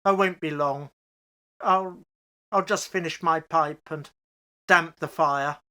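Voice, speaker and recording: Slow, anguished elderly parent acted by a woman. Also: deep voice, sharp tone, heavily acted. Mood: gentle, weary. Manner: pleading, halting, emotional. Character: anguished, reasoning elderly parent of a lost daughter. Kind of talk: amateur radio play acting.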